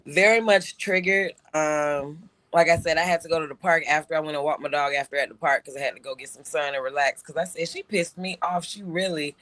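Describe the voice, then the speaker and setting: A man's voice: on the high side, a little fast, hardly any pauses, sharp tone, dry recording. A man co-hosting a casual talk show, telling a personal story with lots of restarts and fillers. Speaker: show co-host. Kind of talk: casual podcast chat.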